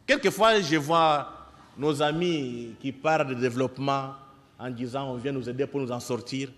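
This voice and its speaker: A man's voice: mid-range voice, normal speed, a bit bright. Congolese man lecturing in an echoey hall. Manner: didactic, exhorting, with rhetorical questions. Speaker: speaker addressing an audience of young people and students. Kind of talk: impassioned public lecture. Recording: echoey hall with long reverb.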